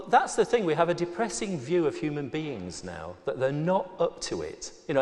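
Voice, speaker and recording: Man arguing in a reverberant hall. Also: medium pitch. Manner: forceful, argumentative, emphatic. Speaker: debate panelist. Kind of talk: formal debate speech and argument. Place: large auditorium.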